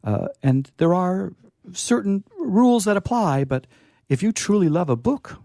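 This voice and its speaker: A man hosting a casual live discussion, with a hesitant, halting delivery. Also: high voice, lively intonation, gravelly, mellow, no room sound, studio quality. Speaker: host.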